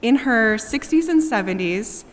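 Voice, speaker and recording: Woman, unhurried eulogy reading, measured pauses, church sanctuary with long echo. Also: on the high side, lively intonation. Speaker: daughter giving a eulogy. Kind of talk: prepared memorial eulogy. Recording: long church reverb, faint background hiss.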